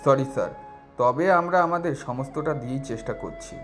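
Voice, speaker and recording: A man's voice: medium pitch, moderate pace, a bit bright, close to an everyday voice. Deferential male henchman played by an actor, music behind. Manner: deferential, reassuring. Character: deferential, dutiful henchman of a minister.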